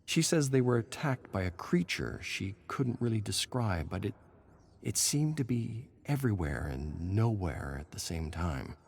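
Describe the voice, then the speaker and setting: A man voicing a wry would-be filmmaker who reads his survivor's journal from a game in a dry, droll, measured way. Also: lowish voice, expressive, creaky, a little mellow, slightly rough, exaggerated voice. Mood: uneasy, hopeful. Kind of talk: dramatic reading of a game journal.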